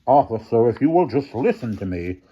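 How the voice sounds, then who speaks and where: A man's voice: medium pitch, very animated, a little fast, breathy edge, dull audio, pitched above the performer's own voice. A man voicing a flustered male headmaster trying to reason with police. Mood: protesting.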